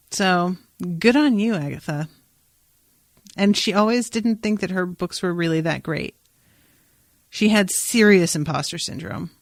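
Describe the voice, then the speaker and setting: Woman chatting casually, lowish voice, dry sound. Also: dry recording. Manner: opinionated, informal, playful. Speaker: podcast host. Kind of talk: casual chatty podcast discussion.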